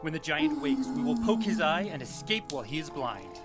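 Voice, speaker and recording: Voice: mid-range voice, big pitch swings, moderate pace, natural voice. Actor doing a brave cocky adventurer captain, big swoopy declamatory lines, radio play music behind. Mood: rallying. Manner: grandiose, declamatory, heroic. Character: brave, blustering male sea captain adventurer, cheerfully overconfident. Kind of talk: theatrical audio drama acting.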